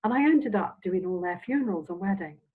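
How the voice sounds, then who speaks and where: An older woman from Scotland, a semi-retired minister, reminiscing in a halting, meandering, reflective way as an interview guest. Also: mid-range voice, animated, husky. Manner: halting, meandering delivery with trailing phrases. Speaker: interviewed Spiritualist minister and medium. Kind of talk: reflective, anecdotal chat. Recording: dull, phone-like audio.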